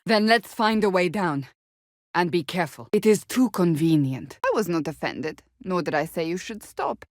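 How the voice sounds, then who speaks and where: A woman's voice: lowish voice, lively intonation, moderate pace, no room sound, clean studio sound. A woman playing a stern, devout, proud warrior in a fantasy video game, speaking in a formal, clipped and earnest manner. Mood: dry, blunt.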